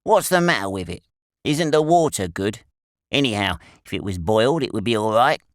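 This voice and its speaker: A cocky English schoolboy scout, put on by a male reader, rude and scornful. The pitch jumps about in a brash, animated way. The sound is close and dry, suited to a storybook. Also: normal speed, no room sound, lightly acted. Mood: defiant.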